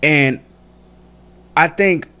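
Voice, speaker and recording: A man's voice: a little high, drawn-out words, bright, forceful tone. A man in his late twenties talking casually as a podcast guest, earnest and encouraging, with slangy phrasing. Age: late 20s. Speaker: guest, law student.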